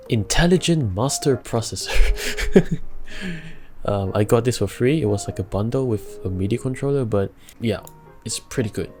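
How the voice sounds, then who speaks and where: A young man giving a casual music production tutorial from a home studio, chatty and relaxed, laughing between steps and using plenty of fillers. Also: fairly low pitch. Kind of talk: casual screen-recorded tutorial.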